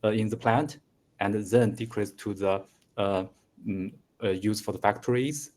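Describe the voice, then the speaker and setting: A man in his thirties whose native language is Mandarin, speaking English slowly in a conversation lesson with many fillers and restarts. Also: on the low side, a little mellow. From China. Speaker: engineering student.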